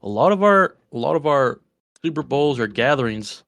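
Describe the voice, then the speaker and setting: A man's voice: mid-range voice, animated, normal speed, fairly bright tone, no echo. Podcast guy from west virginia rambling about snacks, dry close mic, lots of restarts. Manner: rambling, enthusiastic food talk with restarts. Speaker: podcast co-host. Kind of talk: casual chat.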